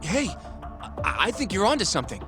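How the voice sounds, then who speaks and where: Earnest young investigator over music. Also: male voice, high-pitched, very animated, a little fast. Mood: intrigued. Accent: American. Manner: earnest, reasoning, explanatory. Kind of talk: English video game voice acting. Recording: music behind the voice.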